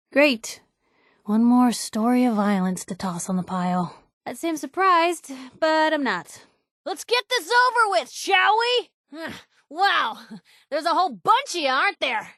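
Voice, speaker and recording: Casting: plucky young newswoman for a game, sardonic and slangy, very high, bright voice, dry close recording. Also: dry recording, exaggerated voice. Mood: weary, wry, then taunting, wry. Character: feisty young woman journalist, wry, plucky wasteland companion. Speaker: video game companion character. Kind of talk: video game voice acting, short combat and banter lines.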